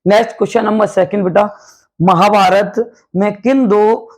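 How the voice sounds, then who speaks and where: A man with a hoarse voice, a coaching teacher running a quick quiz-style revision lesson, calling his students "beta" and repeating each question and answer. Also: high voice, fairly even intonation. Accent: Hindi. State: hoarse from night classes.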